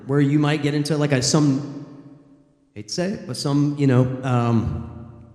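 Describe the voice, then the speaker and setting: A male voice actor on a convention panel, telling a story in a halting, hesitant way. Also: fairly high pitch, fairly even intonation, darkish tone. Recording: echoey convention hall.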